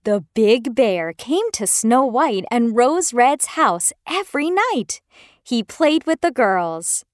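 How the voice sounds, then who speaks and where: A woman tells a children's fairy tale with care. Her voice is high and melodic, and she lets each phrase land slowly. The recording is dry. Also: no room sound. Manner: expressive, careful. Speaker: storyteller.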